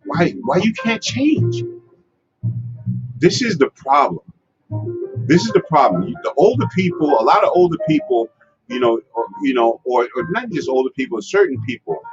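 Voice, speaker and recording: A man's voice: a little high, big pitch swings, normal speed. Host, male, strong voice, rambling advice talk over guitar music, lo-fi sound. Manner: rambling, many fillers and restarts. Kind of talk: casual advice livestream. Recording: lo-fi with music behind.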